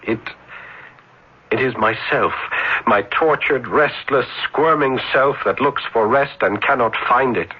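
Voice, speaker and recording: Husky, brooding tormented young scholar. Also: male voice, mid-range voice. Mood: anguished. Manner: theatrical, florid, halting intensity. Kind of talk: 1970s radio drama acting. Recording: muffled vintage radio broadcast recording.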